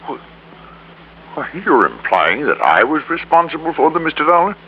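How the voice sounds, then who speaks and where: A man's voice: fairly high pitch, sing-song, normal speed. An actor playing a greedy, cornered businessman who turns evasive and self-pitying in a 1950s radio drama. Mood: feigned innocence. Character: cornered, greedy male businessman, evasive, then confessing murderer. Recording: muffled old radio recording, hum, hiss.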